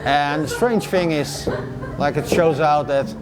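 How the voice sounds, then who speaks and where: A man's voice: fairly high pitch, unhurried, rough recording. A man from the Netherlands with a Dutch accent, speaking English as an interviewee in a plain, matter-of-fact way with frequent "uh" fillers. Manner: plain-spoken, lists feats matter-of-factly, frequent uh fillers. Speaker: adventurer interviewee. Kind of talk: casual interview talk. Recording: music playing underneath. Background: guitar music.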